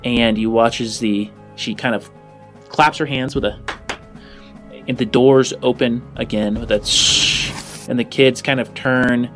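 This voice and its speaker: A man narrating as game master of a sci-fi tabletop game, improvising descriptions in deliberate, drawn-out phrases. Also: medium pitch, steady tone, over music.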